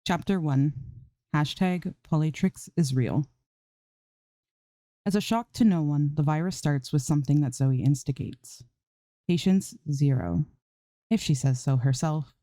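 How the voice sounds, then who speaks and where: A woman's voice: low-pitched, measured pace, pauses often, warm tone, no echo. A woman narrating a scripted piece of fan fiction as an amateur story reader.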